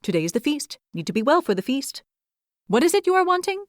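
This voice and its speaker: A woman's voice: sits high, big pitch swings, quick pace, dry recording, clean studio sound, voice pushed up. A woman voicing a busy, frantic male squirrel who fires off clipped questions.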